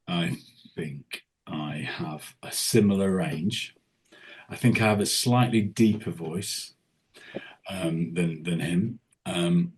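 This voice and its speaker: A man's voice: low voice, a little mellow, a little hiss or hum. A man who works as a tribute singer, talking as a podcast guest in a casual, rambling way with lots of restarts and fillers. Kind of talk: casual interview chat.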